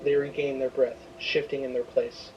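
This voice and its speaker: A man's voice: mid-range voice, level tone, moderate pace, lo-fi sound. Man narrating a dramatic story over music. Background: prominent music.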